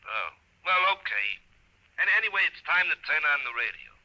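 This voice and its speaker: An actor playing the brash ghost of a train-hopping hobo in a comedic 1950s radio play, chummy and needling in folksy slang. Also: male voice, on the high side, very animated, a little fast, pushed and bright, dull audio, exaggerated voice. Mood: gruff, impatient. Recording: noisy old radio recording.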